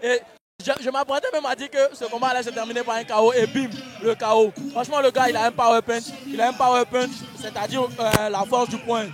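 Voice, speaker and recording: A man co-commentating a live fight, emphatic and excitable, often repeating words. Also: very high voice, a little fast, crowd noise.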